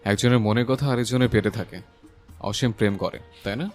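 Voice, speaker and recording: A man acting a calm, inquisitive married older brother in an audio love story. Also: lowish voice, moderate pace, close to an everyday voice. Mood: curious. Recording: music under the voice.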